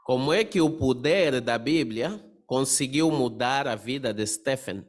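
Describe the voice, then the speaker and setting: Male study conductor, average pitch, short orderly prompts and thanks. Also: a little slow. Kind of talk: leading a question-and-answer religious meeting.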